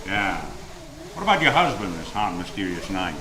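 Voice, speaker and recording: A man playing a cool, wry, deadpan private detective in an old-time radio drama, speaking tersely. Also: sits low, moderate pace, background noise. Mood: wry, probing. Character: hard-boiled male private detective narrating his case, cool and wry. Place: theater stage, live radio drama performance. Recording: roomy live stage, loud music behind.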